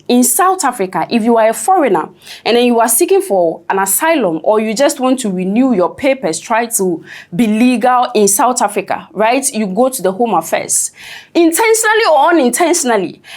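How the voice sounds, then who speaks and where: A woman's voice: fairly high pitch, a little mellow. A woman commenting on a news report in a conversational way, often checking in with "right?".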